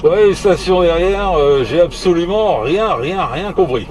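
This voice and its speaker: A man chatting casually on CB radio in a halting, repetitive, teasing way. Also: sits high, animated. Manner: hesitant, halting, repeating words; jocular, teasing. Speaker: amateur CB radio operator.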